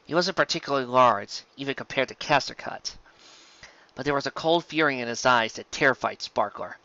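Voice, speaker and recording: A man reading a story aloud as narrator, in an expressive scripted style. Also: mid-range voice, fairly quick, highs cut off. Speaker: narrator, fan fiction reader.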